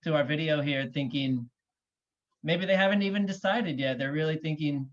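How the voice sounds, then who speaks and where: A man's voice: fairly high pitch, normal speed. Man hosting interview over dull video call. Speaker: interview host, admissions consultant. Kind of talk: conversational interview. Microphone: video call microphone.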